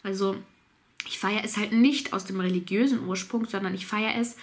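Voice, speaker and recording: A woman's voice: mid-range voice, normal speed. A woman in her thirties with a cold, chatting casually to camera from a small home office as she answers viewers' questions. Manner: relaxed, chatty, laughs easily, reads out questions. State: has a cold.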